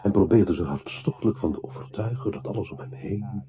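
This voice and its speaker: An actor playing an intense, insinuating narrator in a radio drama, theatrical and at times dropping into hushed tones. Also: male voice, fairly low pitch, fairly quick, lightly acted. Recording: muffled, noisy phone-like old radio recording.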